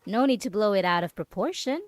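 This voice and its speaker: A woman's voice: medium pitch, expressive, a little fast, no room sound, lightly acted. A woman playing a possessive, mocking yandere roommate in an ASMR roleplay, teasing and condescending as she lingers on her words. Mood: feigned innocence. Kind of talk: dramatic ASMR roleplay monologue.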